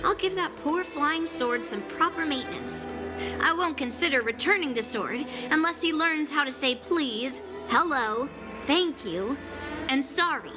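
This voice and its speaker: Young female sword prodigy, game role: high, confident, blunt and cocky, over clear music. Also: animated, a little slow. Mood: indignant, smug. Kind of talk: video game voice acting.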